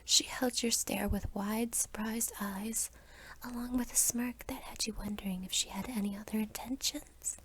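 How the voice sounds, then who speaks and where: A young adult woman reading a scripted second-person story as ASMR in a soft, whispery voice. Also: on the high side, fairly even intonation, normal speed, slight background noise, poor audio. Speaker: roleplay narrator.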